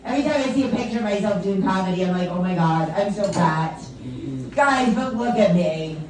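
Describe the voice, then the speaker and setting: A woman's voice: medium pitch, lively intonation, fairly quick, recorded from a distance. A female stand-up comedian from the tri-state area with a voice that sounds older than she is, teasing the crowd in a chatty, self-mocking way. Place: comedy club or venue. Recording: echoey club, mic from afar, audience noise. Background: audience laughing and chatting.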